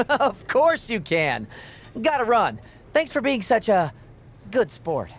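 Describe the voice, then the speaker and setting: Pushy, smug wrestling official. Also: female voice, a little high, very animated, full character voice. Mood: glib, pushy. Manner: brisk, glib, condescending. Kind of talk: video game cutscene acting.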